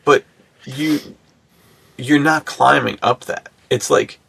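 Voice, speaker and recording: Man, mid-pitched, intense vocal fry, husky, jokey slangy podcast banter. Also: steady tone, slow pace, a bit bright. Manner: jokey, slangy, often chuckling. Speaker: podcast co-host.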